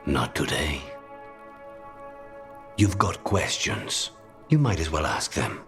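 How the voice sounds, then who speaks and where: Brooding, very deep-voiced assassin. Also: male voice, sing-song, creaky, fairly bright tone, faint music behind, heavily acted. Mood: weary, dry. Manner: measured, understated, dryly menacing. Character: brooding male assassin, possessed by a demon, weary and dry. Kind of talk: video game dialogue acting.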